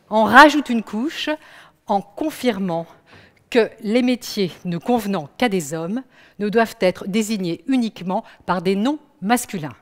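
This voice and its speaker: A woman performs a stage text with emphatic, rhythmic declamation. Her pitch sits in a middle range. The sound carries a long hall reverb.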